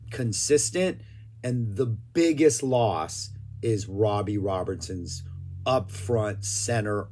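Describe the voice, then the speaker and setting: A man casually reviewing live concert recordings, opinionated and chatty, with a slightly rough voice and occasional throat clearing. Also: fairly low pitch, darkish tone. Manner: chatty, opinionated, loose with filler words. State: throat a little rough, clearing it now and then. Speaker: music review vlogger. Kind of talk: casual spoken album review.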